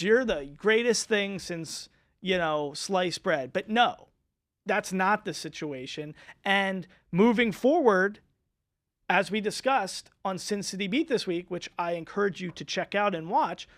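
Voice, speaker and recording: Man, sports talk host, high voice, fired up, a bit hoarse, dry studio sound. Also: fairly bright tone, no echo. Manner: fired up, emphatic. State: losing his voice.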